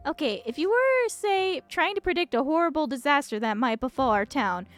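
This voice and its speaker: A woman's voice: high-pitched, lively intonation, normal speed, pitched above the performer's own voice. A woman voicing an eager, chirpy young woman who researches monsters in a visual novel. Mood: eager, upbeat. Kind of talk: visual novel character reading. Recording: music behind the voice.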